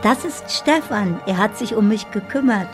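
An actress playing a dreamy, lovelorn woman in a radio drama, husky and gravelly, speaking in a deliberate, poetic way. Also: mid-range voice, expressive, over music, lightly acted. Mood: tender. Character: dreamy, lovelorn woman living in her own fantasy.

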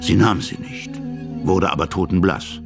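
An actor playing an older, sentimental army officer who recounts his past in a radio play, animated and conversational. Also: low-pitched, normal speed, gravelly, music in the background. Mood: wistful, moved. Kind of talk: dramatic storytelling in a radio play.

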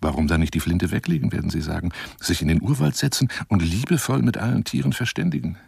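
An actor playing a garrulous, wooing lion hunter in a radio play monologue, theatrical and coaxing, rambling between exclamations and whispers in a husky, gravelly voice. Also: male voice, low-pitched, fast, darkish tone, no room sound, heavily acted. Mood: poetic, wistful. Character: garrulous, self-styled lion hunter wooing a woman by telephone.

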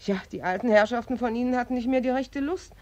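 An actress playing a blunt, gossipy working-class housewife in a radio drama, chatty and flippantly matter-of-fact. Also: female voice, fairly high pitch, quick pace, a little mellow, lo-fi sound, natural voice. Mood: flippant, callous. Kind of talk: German radio drama acting.

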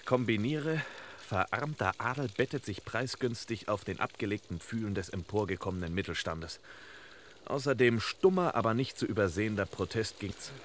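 Low-voiced pompous detective, noisy recording. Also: male voice, normal speed. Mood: dry, sardonic. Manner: pompous, deadpan, telegram-style phrasing. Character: sardonic, pompous male detective giving clipped deductions. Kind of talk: vintage German radio crime comedy acting. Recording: noisy old radio recording.

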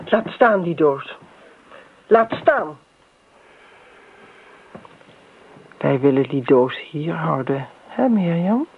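A woman's voice: low voice, expressive, slow pace, slightly rough, full character voice. An actress playing a brusque, domineering older woman who speaks curtly and cuttingly in a radio play. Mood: commanding. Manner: curt, cutting, commanding. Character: brusque, busy, sharp-tongued older woman, domineering friend. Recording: tinny, noisy old radio play recording.

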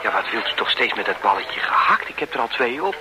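A man's voice: mid-range voice, big pitch swings, brisk, natural voice. An actor playing a puzzled, mildly put-out man in a radio play. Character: bemused man pressed to eat meatballs. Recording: loud tape hiss.

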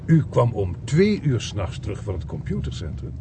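A man's voice: on the low side, big pitch swings, normal speed, mellow, a little hiss or hum. An actor playing a weary, sceptical policeman in a Dutch radio play, dry and grumbling, with a Netherlands Dutch accent. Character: weary, sceptical policeman narrating his own case.

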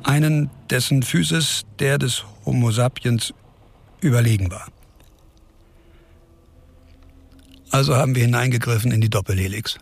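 An actor playing a resolute scientist and doctor in a radio drama, speaking solemnly and emphatically in a public-speech style. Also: male voice, medium pitch, a little fast, vocal fry, husky. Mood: insistent justification. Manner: solemn, emphatic, repeating phrases. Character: resolute male scientist and doctor defending his experiment. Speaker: scientist and physician character.

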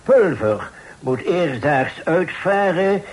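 An actor playing a courteous, wordy merchant employer in a radio play, speaking politely and in a roundabout way. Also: male voice, fairly high pitch, very animated, slow pace, rough recording. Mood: courteous, businesslike. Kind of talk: old Dutch radio play acting. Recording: old radio recording with faint noise.

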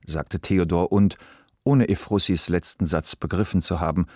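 A man narrating a literary radio play in a measured, expressive way, moving between narration and acted dialogue. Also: low-pitched, breathy edge, no echo, studio quality.

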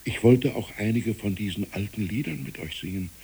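A man's voice: on the low side, normal speed, dark tone, breathy edge, rough recording. An older man acting a fanciful, tender old village pastor in a 1960s radio play, pleading and dreamy as he rambles on to children. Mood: wistful, pleading. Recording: hissy 1960s radio recording.

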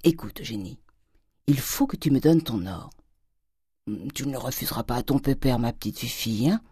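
Audiobook miser: old French father, husky, coaxing, greedy and quick to explode played by a woman. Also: very deep voice, lively intonation, dark tone, dry recording, studio quality, exaggerated voice. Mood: wheedling, greedy. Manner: coaxing, exclamatory, gold-obsessed. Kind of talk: audiobook character acting.

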